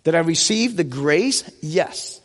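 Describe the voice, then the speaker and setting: High-pitched man preaching in a reverberant hall. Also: animated, takes it slowly. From United States. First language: Vietnamese. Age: 40s. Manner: conversational, rhetorical questions and self-answers. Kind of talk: sermon with personal anecdotes.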